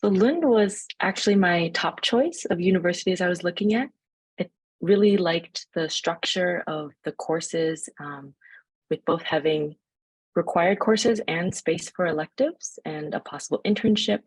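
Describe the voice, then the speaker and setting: A young woman from the United States speaks in a low, husky voice. She answers fluently and casually, and the room sound is dry. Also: a little slow, dry recording. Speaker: panelist, master's student.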